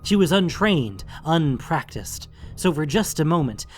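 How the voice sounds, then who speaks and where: A man's voice: fairly high pitch, sing-song, measured pace, soft background music. A man narrating a fantasy story in a dramatic, scripted audiobook style.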